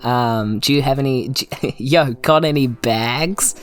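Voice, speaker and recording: Very low-voiced, playful New Zealand woman. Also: measured pace. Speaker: player in a tabletop roleplaying game. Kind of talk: casual improvised roleplay chat.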